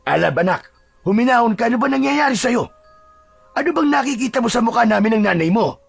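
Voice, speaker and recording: A man voicing a gruff but concerned father with a husky, gravelly voice in a dramatized story. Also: very high-pitched, lively intonation, fast talker, faint music behind, heavily acted. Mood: concerned, urgent. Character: child's gruff father, scolding yet concerned.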